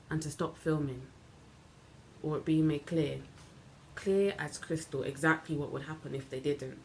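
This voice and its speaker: A woman performing a dramatic monologue as a bitter, wronged young woman recounting events in a deliberate, halting way with emphatic repetition. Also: low-pitched, low-quality recording. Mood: angry, indignant. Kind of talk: dramatic stage monologue, self-taped. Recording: noticeable background noise.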